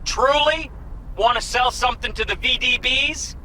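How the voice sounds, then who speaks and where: A high, sharp-voiced flamboyant fixer. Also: male voice, moderate pace, a little hiss or hum, exaggerated voice, low-quality recording. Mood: exasperated, cajoling. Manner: theatrical, garrulous, slangy. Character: flamboyant, wheeling-dealing underworld fixer man. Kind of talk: animated video game acting.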